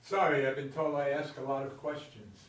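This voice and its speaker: Man as earnest married baseball fan, highish voice. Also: a little fast, natural voice. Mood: curious. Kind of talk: staged play reading. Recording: echoey room, distant mic, background noise.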